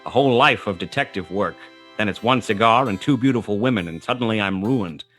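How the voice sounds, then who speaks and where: An actor playing a world-weary, wry, tough private detective who narrates a 1920s hard-boiled radio play in a clipped, dramatic manner. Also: male voice, on the low side, lively intonation, a little fast, a bit bright, husky, faint music behind.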